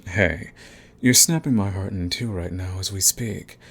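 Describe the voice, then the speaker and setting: A man's voice: low-pitched, unhurried, creaky, fairly bright tone, breathy edge, heavily acted. A man playing a smug, flirtatious villain who is fond of the enemy, in an intimate ASMR romance roleplay with taunting, drawling menace. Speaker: audio roleplay voice actor.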